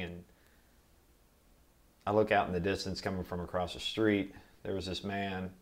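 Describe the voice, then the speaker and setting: An American man giving a recorded oral history interview, recalling his life in a measured, reflective way. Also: low voice. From Kentucky, United States. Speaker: interviewee, military veteran.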